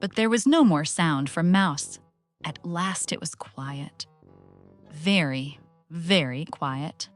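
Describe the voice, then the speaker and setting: A woman with a slightly low voice narrates a children's story. Her delivery is very expressive and unhurried, with soft music playing behind her.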